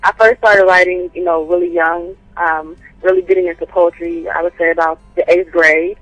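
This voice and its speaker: A woman poet calling in to an interview, answering thoughtfully with frequent pauses and fillers. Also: on the low side, steady tone, a bit bright, no echo, faint music behind, low-quality recording. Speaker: guest poet and writer. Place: calling in from home by phone.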